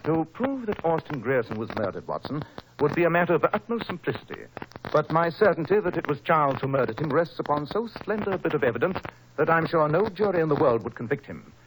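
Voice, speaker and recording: A man's voice: a little high, very animated, fast talker. A man playing a shrewd, self-assured detective with precise, authoritative diction, in a 1940s radio mystery style. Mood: confident, explanatory. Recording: noisy old 1940s radio recording.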